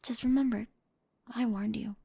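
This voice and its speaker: A woman's voice: on the high side, fairly even intonation, moderate pace, gravelly, mellow, slightly rough. A woman playing a quirky girlfriend in an ASMR roleplay, casual, wry and reassuring, fondly exasperated. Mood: nervous, reassuring.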